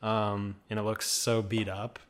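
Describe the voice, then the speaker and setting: Soft-spoken low man, slight Minnesota accent. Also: level tone, unhurried. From Midwest USA. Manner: soft-spoken, trailing off, many fillers. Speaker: guest. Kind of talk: casual interview.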